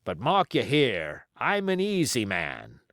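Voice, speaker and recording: A male reader puts on a commanding, crafty pirate sea cook from an adventure storybook. He speaks slowly in folksy sailor grammar, weighing his words, and his pitch rises and dips widely in a dry, close recording. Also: wildly swooping pitch, fairly bright tone, dry recording, full character voice. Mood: cold, menacing.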